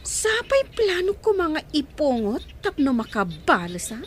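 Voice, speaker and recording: A woman's voice: high-pitched, huge pitch swings, unhurried, slight background noise, full character voice. An actress playing a scheming woman plotting revenge, sly and mocking.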